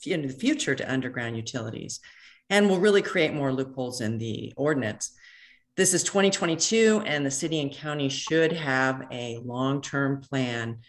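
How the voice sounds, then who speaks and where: A woman, president of a neighborhood association, reading an earnest public comment over a phone line, measured with a few halting restarts. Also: rumbling low. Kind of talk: prepared public comment read aloud. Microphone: phone call into online meeting.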